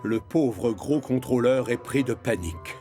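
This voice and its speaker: Un homme narrant un dessin animé pour enfants, avec un ton de conte animé. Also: male voice, medium pitch, unhurried, dark tone. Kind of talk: scripted storybook narration. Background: music.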